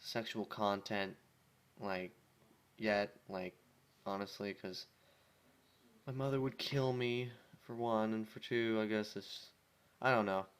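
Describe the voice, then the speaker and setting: A man's voice: fairly low pitch, slow pace, pauses often, smooth. Casual young man, offhand and self-deprecating. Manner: offhand, self-deprecating, stumbling over lines. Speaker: amateur fan fiction reader. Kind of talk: casual story reading with offhand commentary.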